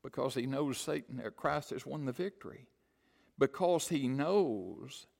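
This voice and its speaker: A man's voice: a little high, vocal fry. A man preaching a Bible sermon as a pastor, with an emphatic, dramatic delivery that repeats phrases for stress. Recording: long hall reverb.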